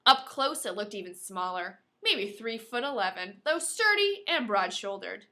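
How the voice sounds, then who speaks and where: A woman co-hosting a podcast, reading a novel aloud in an animated, playful way and breaking off for casual banter. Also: mid-range voice, normal speed. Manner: playful, dramatic, often breaks off to comment.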